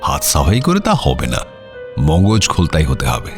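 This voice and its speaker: An actor playing a courteous, uneasy landed gentleman in a radio drama, speaking in a measured, slightly hesitant way. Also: very deep voice, wildly swooping pitch, soft background music, exaggerated voice. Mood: courteous, assured. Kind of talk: Bengali radio audio drama acting.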